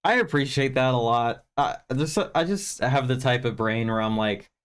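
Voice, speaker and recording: A man's voice: medium pitch, moderate pace, smooth. A tired American man getting over stuffy sinuses, chatting casually on a livestream in a rambling, candid, self-deprecating way with some swearing. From United States (Virginia). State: tired, recovering from sinus congestion. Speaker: livestream film review host.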